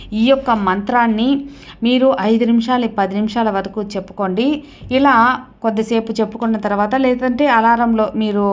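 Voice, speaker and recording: Woman explaining stuff step by step for her devotional channel, mid pitch, repeats herself to instruct. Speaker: host of a devotional remedy channel. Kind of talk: explanatory talk to viewers, instructional.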